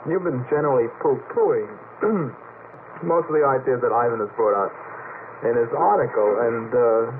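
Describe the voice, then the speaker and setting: Wordy male panelist, thin noisy radio sound. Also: mid-range voice. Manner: wordy, halting, with many repeated words. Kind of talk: unscripted panel discussion with anecdotes. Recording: noisy narrow-band vintage radio recording. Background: clear noise.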